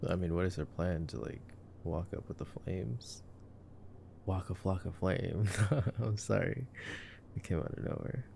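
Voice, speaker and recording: A young man doing casual boyfriend-roleplay movie commentary for ASMR, in a soft, drowsy murmur with slangy phrasing. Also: sits low, unhurried, vocal fry, a little hiss or hum. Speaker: ASMR voice performer.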